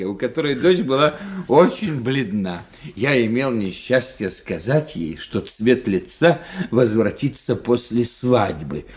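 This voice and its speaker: An actor playing a wry, chatty, worldly bachelor doctor in a radio drama, telling things drolly with deliberate hesitations. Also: male voice, medium pitch. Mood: droll. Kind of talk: Russian radio drama acting.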